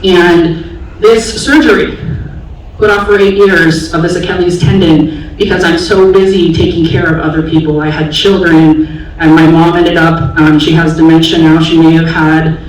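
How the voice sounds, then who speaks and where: A woman's voice: lowish voice, fairly even intonation, moderate pace. A woman in her forties from the Bay Area, a special education teacher telling her own story candidly to an audience, with many fillers. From San Francisco Bay Area, US. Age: 40s. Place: large auditorium stage. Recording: echoey hall from far off, faint noise.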